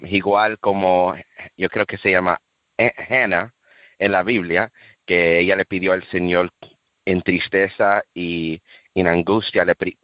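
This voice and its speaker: A man who grew up in the United States, with English as his first language, tells his testimony on a podcast call, halting and restarting often. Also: deep voice, dry recording, dull audio. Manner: halting, with many restarts and fillers. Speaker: guest giving a testimony. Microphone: call audio.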